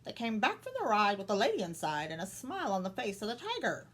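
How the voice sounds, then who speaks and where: A woman voicing a mocking green parrot with a shrill, squawky voice. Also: huge pitch swings, fast, fairly bright tone.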